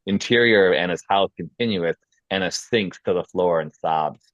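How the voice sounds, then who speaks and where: Male narrator for a screenplay table read: low register, dry close sound, reads action and scene headings. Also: normal speed, no echo, dull audio. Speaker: stage directions reader.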